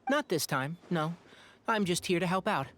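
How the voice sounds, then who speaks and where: A man voicing a calm, knowledgeable young male ranger in a video game, explaining things in a measured way. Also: high voice, a little hiss or hum.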